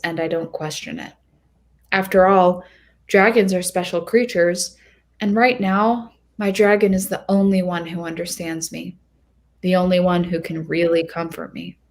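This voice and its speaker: Woman as insecure lovesick young woman, first-person story narration, slightly low pitch, earnest, wounded, expressive. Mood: wistful.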